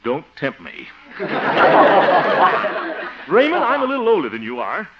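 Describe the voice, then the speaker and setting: A man's voice: on the high side, wildly swooping pitch, a little slow, very noisy. An actor playing an exasperated, pompous but good-hearted man in a 1950s radio sitcom, blustering and muttering asides. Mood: lecturing. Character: exasperated, pompous, good-hearted grown son and self-styled mentor. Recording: dull old-time radio recording.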